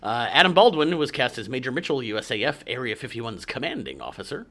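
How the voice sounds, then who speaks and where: Bright, animated male podcast host. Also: medium pitch, fast. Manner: reads actor trivia, chatty with fillers. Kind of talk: casual chat about movies.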